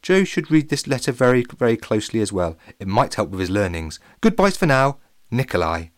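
A man's voice: medium pitch, sing-song, fairly quick, no echo, clean studio sound, lightly acted. A man playing an earnest Russian boy penfriend, speaking quaint broken English in a children's story. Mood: cheerful, earnest.

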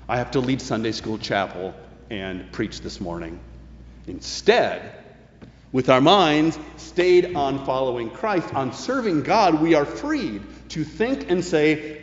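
A man preaching a sermon as a pastor, in a measured, earnest way with emphatic phrasing. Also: mid-range voice. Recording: dull, echoing, long-reverb hall recording.

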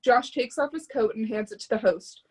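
A woman's voice: a little high, moderate pace, husky. A woman reading stage directions as scripted narration for a readers theatre drama.

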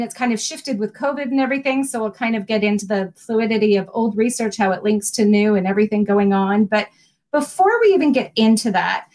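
A woman hosting an interview podcast, introducing her guest formally and then chatting with her in a friendly way. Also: fairly high pitch. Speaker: podcast host.

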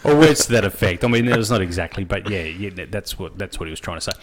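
A man hosting a casual sports podcast in a small studio, chatty and wry. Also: low-pitched. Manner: chatty, wry, quick to agree. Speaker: podcast host.

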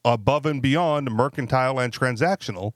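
A man's voice: medium pitch, normal speed, no room sound. Casual man asking "right?" often. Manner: conversational, frequent fillers and tag questions. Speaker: podcast co-host. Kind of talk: casual panel discussion.

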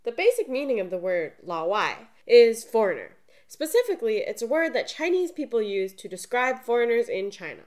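A woman co-hosting a podcast, reading scripted explanations in a lively, explanatory way. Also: on the high side, fairly quick, few pauses. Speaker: podcast co-host.

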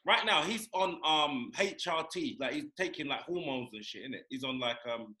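A man, co-hosting a podcast in loud, teasing casual banter, swearing freely. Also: fairly high pitch, animated, moderate pace. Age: 40s. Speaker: podcast co-host. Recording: echoey room.

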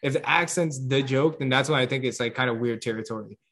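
A man chatting casually on a podcast, animated, laughing often and tripping over repeated words. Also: mid-range voice, fast talker, slight background noise. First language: English. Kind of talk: casual chat.

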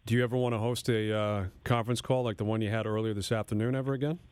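A man's voice: on the low side, fairly even intonation, rapid-fire, darkish tone, slight background noise. A man hosting sports radio, asking questions in a conversational broadcast style. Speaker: sports radio host, interviewer. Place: radio studio.

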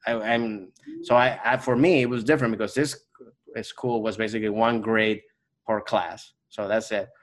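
A man from Venezuela whose first language is Spanish, chatting as a podcast guest. His voice sits on the low side. He rambles, circles back and fills gaps with "uh" and "you know". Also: steady tone, moderate pace, low-quality recording. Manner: rambling, many fillers and restarts. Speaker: guest comedian. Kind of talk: casual podcast chat.

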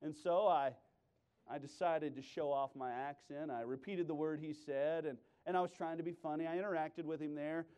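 High-pitched male preacher, emphatic and playful. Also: steady tone, moderate pace, clear, resonant tone. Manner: emphatic, sudden loud exclamations; playful, self-deprecating, sarcastic asides. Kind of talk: animated sermon with humorous storytelling.

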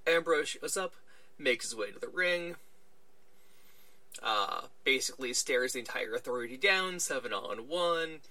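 Male podcast host, very bright tone, dry close mic, casual recap chat. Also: medium pitch, lively intonation, a little slow, no echo. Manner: chatty, retelling events with little quoted voices.

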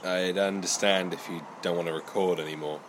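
A man's voice: deep voice, fairly even intonation, a little fast, a little hiss or hum, natural voice. A man playing a sheepish, evasive cleaner in a comic audio drama. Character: sheepish cleaner who posed as studio owner.

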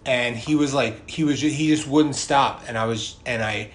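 A man's voice: medium pitch, normal speed. Man in his thirties over noisy ambience. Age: 30s. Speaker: podcast co-host. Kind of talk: casual chat and personal storytelling. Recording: clearly noisy background ambience.

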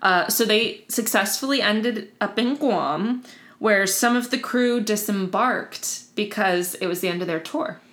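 A woman co-host with a middle-pitched voice tells a history story to her friend. She is relaxed and conversational, dropping in casual fillers as she goes. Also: a little slow. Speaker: podcast co-host. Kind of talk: casual history podcast chat.

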